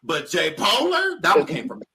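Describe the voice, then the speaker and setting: A woman's voice: on the low side, wildly swooping pitch, moderate pace, gravelly, pushed and bright. A woman in casual chat, recorded with faint noise in the background.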